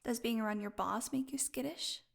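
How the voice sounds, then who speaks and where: A woman playing a starved, flirty, sardonic captive vampire in an intimate audio roleplay, teasing and slipping into breathy whispers. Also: fairly high pitch, moderate pace. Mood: taunting, teasing. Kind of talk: audio roleplay acting, intimate one-sided dialogue.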